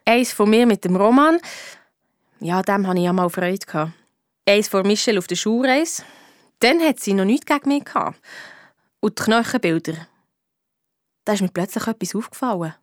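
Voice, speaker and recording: A woman's voice: mid-range voice, animated, moderate pace, pauses often, dry recording, studio quality, close to an everyday voice. A woman voicing a young girl detective with a Swiss accent, eager and blunt, telling her story colloquially in a children's radio play. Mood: frank, narrating.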